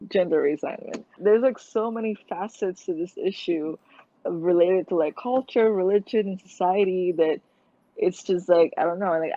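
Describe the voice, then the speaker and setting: Young woman guest, earnest, halting, loaded with "like", dry and tinny like a phone call. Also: lowish voice, mellow, no echo. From Malaysia. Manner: hesitant, full of "like" and restarts, earnest. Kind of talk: casual conversational interview.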